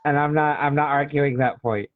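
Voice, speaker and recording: A man's voice: on the high side, steady tone, moderate pace. An American man in his forties from Illinois, speaking casually as an author being interviewed. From Illinois, United States. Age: 40s. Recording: thin phone-like call audio.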